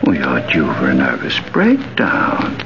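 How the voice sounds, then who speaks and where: An actor playing a cold, sardonic killer who taunts with mock politeness, in 1940s radio thriller style. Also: male voice, rumbling low, wildly swooping pitch, unhurried, full character voice. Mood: mock-concerned, gloating. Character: cold, mocking male killer taunting his doomed victim. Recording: muffled, noisy old 1940s radio recording.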